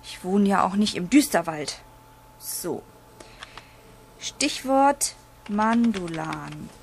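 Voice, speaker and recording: A woman playing a curious, lively young girl witch in a children's radio play, inquisitive and full of exclamations. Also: mid-range voice, slow, slight background noise.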